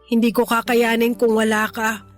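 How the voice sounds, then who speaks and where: A woman playing a middle-aged, affectionate, remorseful mother in a radio drama, with a Filipino accent and a tender, pleading, expressive delivery. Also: on the high side, moderate pace, close to an everyday voice. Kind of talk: emotional radio drama dialogue. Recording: music bed under the dialogue.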